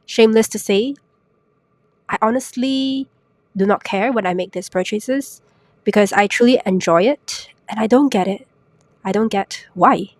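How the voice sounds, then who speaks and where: A young woman hosting a solo podcast while sick, her voice raspy and congested, chatty and self-mocking with lots of rhetorical questions. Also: mid-range voice, unhurried, no echo. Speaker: podcast host. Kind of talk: casual solo podcast talk. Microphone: new podcast microphone.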